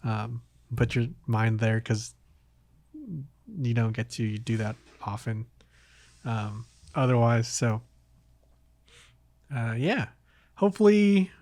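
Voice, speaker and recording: A man hosting a podcast, talking casually about games in a hesitant, halting way. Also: mid-range voice, lively intonation, darkish tone. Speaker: podcast host.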